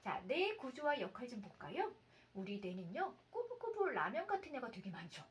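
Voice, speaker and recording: A woman in her thirties, a mother reading a children's story aloud and asking her child questions in an expressive, sing-song, explanatory way, with faint room noise behind her. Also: a little high, fairly quick.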